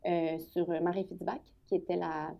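A woman's voice: fairly low pitch, flat delivery, moderate pace, gravelly, dull audio. A woman in her thirties with a Quebec French accent, a podcast guest chatting casually and loosely, with plenty of fillers and self-corrections. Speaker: podcast guest, comedian and writer. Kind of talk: casual chat.

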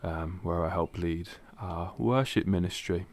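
A young man with a deep, low voice talks through his thoughts in a measured way, pausing on ums. His voice is dry and close to the mic. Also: no room sound. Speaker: worship leader. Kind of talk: casual chat.

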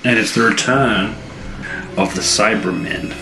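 A man's voice: on the low side, a little slow, over music. A man co-hosting a podcast in casual, jokey conversation. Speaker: podcast co-host. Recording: echoey room, clear background noise.

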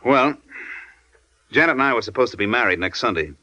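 An actor playing a tough, curt schemer of a crook in a 1950s radio crime drama. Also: male voice, mid-range voice, lively intonation, fairly quick, pushed and bright. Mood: smooth, explaining. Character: hard male crook, menacing gang schemer named Carl.

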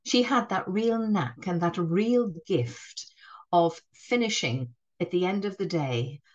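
Woman, middle-aged, Northeast English accent, lowish pitch, reflective, restarts sentences. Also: lively intonation, slow, highs cut off. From Northeast England. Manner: warm, reflective, frequent restarts. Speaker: head teacher, guest. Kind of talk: conversational podcast interview.

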